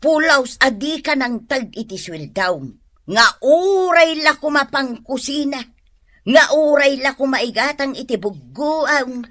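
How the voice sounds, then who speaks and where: A woman's voice: high-pitched, unhurried, a bit bright. A woman with an Ilocano accent playing an exasperated mother who scolds and lectures in a radio drama. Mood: exasperated scolding. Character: exasperated, nagging mother of a spendthrift son.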